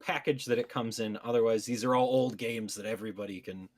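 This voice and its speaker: A man's voice: medium pitch, fairly even intonation, fast talker. A man co-hosting a podcast, debating casually and insistently clarifying his points. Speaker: podcast co-host.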